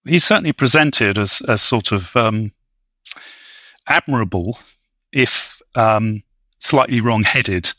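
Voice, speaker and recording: A man with a bright, forward voice talks as an analytical podcast panelist. He is articulate and scholarly, choosing bookish words, recorded close and dry. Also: on the low side, slow pace, long pauses, no echo. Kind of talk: analytical film discussion.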